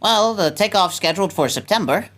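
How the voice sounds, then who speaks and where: A woman's voice: mid-range voice, expressive, a little fast, fairly bright tone, close to an everyday voice. A woman playing a man, a dutiful, affectionate grown son who commands a navy rocket, in a sci-fi radio play. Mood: easygoing, proud.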